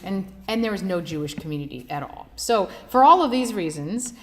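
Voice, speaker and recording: A woman rabbi telling her life story in a relaxed, conversational way, with many "like" fillers and easy laughs. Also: medium pitch, fairly quick. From United States, Washington State. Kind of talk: personal storytelling talk.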